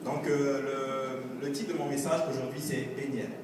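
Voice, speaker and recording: A man's voice: mid-range voice, fairly even intonation, moderate pace. A man delivering an earnest church sermon, exhorting listeners with testimony, scripture readings and repeated refrains. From outside Canada. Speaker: preacher. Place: large reverberant church hall with congregation. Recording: noisy echoey hall, far from mic.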